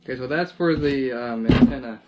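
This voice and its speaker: A man's voice: mid-range voice, a little fast. A man giving casual hands-on commentary as he works, thinking aloud in muttered fragments. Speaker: DIY vlogger. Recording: noisy, dull lo-fi camera audio with clicks.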